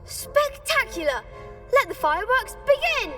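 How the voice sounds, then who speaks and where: A woman's voice: very high voice, sing-song, a little slow, fairly bright tone, full character voice. A woman playing a young schoolboy in a video game, an eager, self-assured duel organizer with articulate showmanship. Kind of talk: video game character acting. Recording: slightly distant, music clearly behind.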